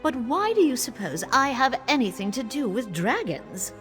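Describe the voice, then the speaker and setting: A woman's voice: on the high side, big pitch swings, moderate pace, music in the background. A woman playing a cruel, mocking usurper queen in a fantasy video game, imperious and drawling with sarcasm. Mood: amused, menacing.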